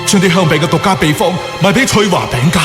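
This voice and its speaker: A stern, wounded boss in a radio drama, reproachful and emphatic. The voice is fairly high and swings widely in pitch, ringing in long reverb. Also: male voice, expressive, fast talker. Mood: accusing. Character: stern, wounded male bakery boss, betrayed elder brother. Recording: long echoing reverb, music underneath.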